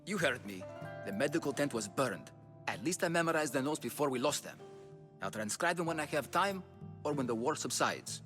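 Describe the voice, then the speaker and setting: An actor playing a dutiful, stubborn ancient Greek battlefield doctor in a dramatic video game, earnest and firm. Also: male voice, mid-range voice, animated, a little fast, fairly bright tone, soft background music, lightly acted. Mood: defiant, busy.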